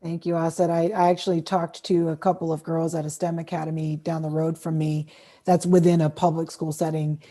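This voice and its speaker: A woman's voice: deep voice, level tone, brisk, mellow. A woman moderating a roundtable in a conversational way, handing turns to panelists.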